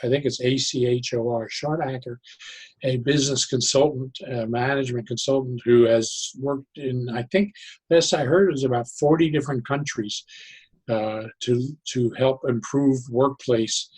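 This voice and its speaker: A man explaining ideas conversationally as an interview guest, in an animated, halting way, often repeating and interrupting himself. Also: mid-range voice, husky, low-quality recording. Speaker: podcast guest, psychologist turned joy advocate.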